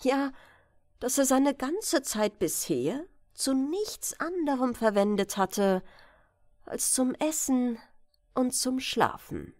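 Female audiobook narrator for bedtime fairytales, unhurried, animated melody, close dry sound. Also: high voice, dry recording. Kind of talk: expressive bedtime fairytale reading.

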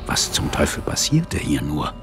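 An actor playing a cool, tense police inspector who narrates a demon fight in a dramatic radio play. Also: male voice, rumbling low, wildly swooping pitch, a little slow, a bit bright, exaggerated voice. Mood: tense, hushed. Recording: music bed under the voice. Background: dramatic music.

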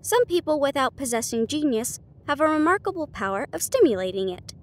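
A woman voicing a sharp, confident male detective with a precise, formal, condescending manner in an audiobook. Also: sits high, big pitch swings, brisk. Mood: approving.

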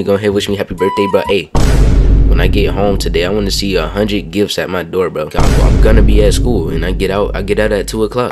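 A low, deep-voiced teenage boy rambling through casual gameplay commentary in loose slang. He keeps checking in with his listeners, and music plays clearly behind him. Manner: slangy, rambling, keeps asking "you feel me". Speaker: gaming YouTuber.

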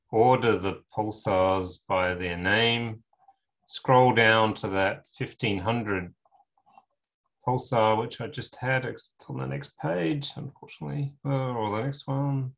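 Middle-aged man, lowish voice, informal explanatory lecturer, pausing often, screen-demo tutorial. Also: vocal fry, fairly bright tone. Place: indoors, on a video call.

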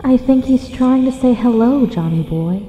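A woman's voice: fairly high pitch, expressive, moderate pace, dark tone, a little hiss or hum, close to an everyday voice. A woman playing a cruel phantom young woman in an audio drama, mocking and sardonic as she haunts a survivor. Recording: cavernous reverb, thin phone-like band.

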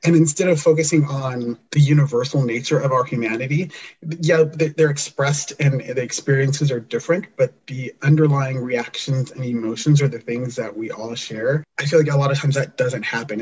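A man's voice: medium pitch, steady tone, brisk, darkish tone, slightly rough, muffled recording. Earnest man explaining his podcast. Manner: earnest, thoughtful, with frequent restarts. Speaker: podcast guest, fiction podcast creator. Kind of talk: conversational interview.

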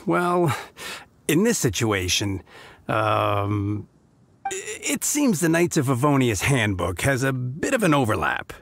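A man's voice: medium pitch, full character voice. A man voicing a formal, dutiful, by-the-book city gate knight in a video game, courteous and officious. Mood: hesitant, conceding. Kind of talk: video game character acting.

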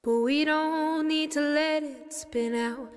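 A woman sings very slowly in a high voice. Her vocal track carries a long reverb, with faint music behind it. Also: steady tone. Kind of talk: slow singing, recorded vocal track.

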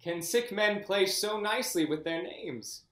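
A man's voice: high-pitched, expressive, unhurried, fairly bright tone. A haughty young king, scornful, roomy echoey sound. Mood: mocking. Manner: cold, then furious. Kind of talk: Shakespeare staged reading. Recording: echoey room.

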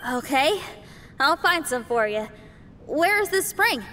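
A woman's voice: high-pitched, big pitch swings, slow pace, sharp tone, long reverb tail, full character voice. A woman playing a plucky, friendly young female rock musician in a video game. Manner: casual, plucky. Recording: game audio with faint background noise.

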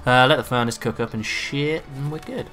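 A man with a bright, forceful voice and a slightly raspy throat from a cough, chatting excitedly as he plays. He swears casually while commenting. Faint music sits underneath. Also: mid-range voice, a little fast. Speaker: gaming video creator. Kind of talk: live gameplay commentary.

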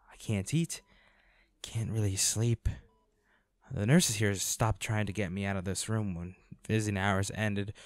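A man playing a devoted boyfriend and weary, protective monster hunter in an audio roleplay, speaking earnestly in short, halting phrases. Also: lowish voice, dry recording, lightly acted. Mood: tender, anxious.

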